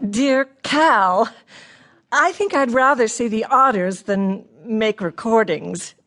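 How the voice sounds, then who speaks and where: A woman's voice: a little high, takes it slowly, fairly bright tone. An actress playing a shy, observant female poet who reads letters and verse aloud for radio theatre with dry, precise wit. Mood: wry, light.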